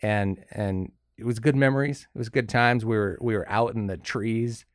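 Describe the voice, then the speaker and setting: A man co-hosting a casual chat podcast, his voice a little hoarse. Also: lowish voice, steady tone, moderate pace, no room sound, studio quality. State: slightly hoarse. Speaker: podcast co-host. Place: studio.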